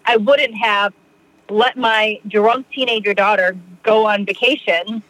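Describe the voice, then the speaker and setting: A middle-aged woman from Massachusetts, a podcast guest rambling through a personal story with many fillers and quick laughs. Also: medium pitch, fairly even intonation, fairly bright tone, no echo, rough recording. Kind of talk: casual storytelling in an interview.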